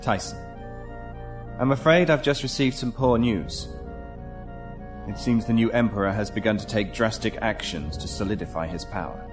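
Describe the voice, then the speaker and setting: Man playing a low, formal, shrewd commander. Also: music in the background, natural voice. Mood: grave, courteous. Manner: courteous, deliberate, formal. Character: formal, shrewd male commanding official in space. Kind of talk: sci-fi audio drama acting.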